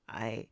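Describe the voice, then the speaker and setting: A man voicing a devoted pet who has turned into a young human, talking in an ASMR roleplay with soft, breathy whispers. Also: dry recording, dull audio.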